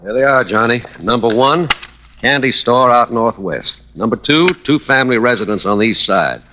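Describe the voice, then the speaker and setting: An actor playing a worried, folksy Texas insurance manager in a 1950s radio drama, with drawling, colloquial talk. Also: male voice, mid-range voice, expressive, moderate pace, noisy background, close to an everyday voice. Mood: weary, matter-of-fact. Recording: dull, lo-fi old radio recording.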